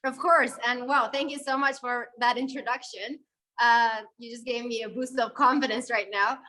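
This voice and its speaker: Bright-voiced chatty woman from Syria. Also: fairly high pitch, normal speed. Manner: animated, many fillers and restarts. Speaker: guest videographer. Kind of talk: conversational interview answer.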